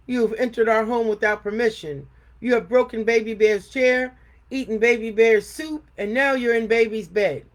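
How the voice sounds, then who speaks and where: A woman's voice: on the high side, fairly even intonation, pitched above the performer's own voice, close to an everyday voice. A woman voicing a big, grouchy father bear who growls and scolds sternly in a storybook read-aloud. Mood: gruff, scolding. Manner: growling, stern, drawn-out words.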